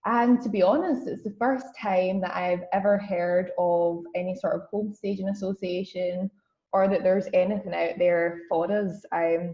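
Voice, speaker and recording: A woman's voice: on the low side, darkish tone, roomy sound, highs cut off. A woman in her twenties with a broad Scottish accent, giving a chatty webinar talk as guest speaker over a video call, with plenty of ums. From Scotland. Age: 20s. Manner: friendly, chatty, many ums. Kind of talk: webinar presentation.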